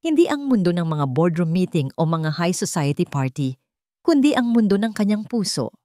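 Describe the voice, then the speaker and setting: A woman's voice: on the low side, big pitch swings, normal speed, warm tone, dry recording, clean studio sound. A woman reading scripted narration of a sentimental love story.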